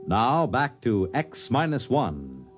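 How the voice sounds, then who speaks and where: A man announcing in 1950s radio style, reading scripted material in a measured, instructive manner. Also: medium pitch, sing-song, loud music behind the voice. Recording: restored vintage radio recording.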